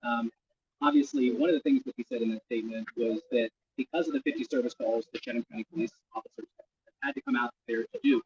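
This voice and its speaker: Man, reporter: rapid, gravelly, very animated questioning, captured on slightly noisy, dull audio. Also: mid-range voice. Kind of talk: on-the-spot press questioning. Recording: lo-fi audio, faint background noise.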